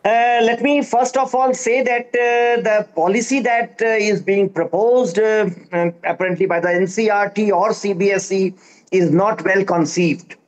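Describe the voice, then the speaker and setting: A man's voice: high voice, fairly even intonation, moderate pace, low-quality recording. A man from Bihar, a linguist on a televised panel, insisting on his point and pushing to keep the floor. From Bihar, India. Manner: insistent, interrupts to hold the floor. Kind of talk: panel debate.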